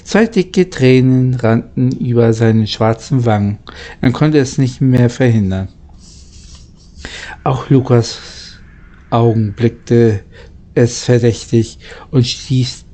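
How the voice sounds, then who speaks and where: Man, children's story reading, unhurried pace, soft-edged mellow tone, halting delivery. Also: mid-range voice. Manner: halting, stumbling over words. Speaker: amateur audiobook reader.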